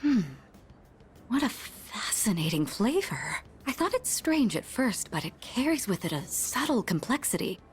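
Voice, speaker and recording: A woman's voice: fairly high pitch, very animated, measured pace. An actress playing a well-bred, earnest young woman in a video game, speaking formally and politely with naive curiosity and the odd French phrase. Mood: delighted, polite.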